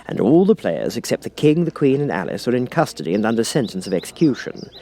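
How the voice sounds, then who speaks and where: A man's voice: mid-range voice, big pitch swings, fast talker, warm tone, slight background noise. A man reading a storybook aloud as an audiobook narrator. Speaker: audiobook reader.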